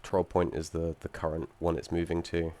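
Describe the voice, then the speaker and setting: A young man with a croaky voice from feeling unwell, explaining his game project and code with frequent ums and restarts and the odd dry aside. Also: low-pitched, level tone, a little fast, mellow. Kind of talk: screen-recorded code walkthrough.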